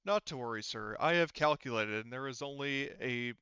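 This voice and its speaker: A man's voice: mid-range voice, normal speed, bright, forceful tone, clear, resonant tone, dry recording, highs cut off, lightly acted. Man playing a formal, unruffled android. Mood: nonchalant, polite. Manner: formal, precise, nonchalant. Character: polite, nonchalant male android crewman with eerie calm.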